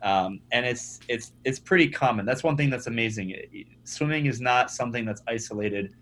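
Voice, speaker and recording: A young man, a startup founder, talking casually as a podcast guest, energetic and often repeating his first words. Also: mid-range voice, a little fast. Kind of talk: conversational podcast interview.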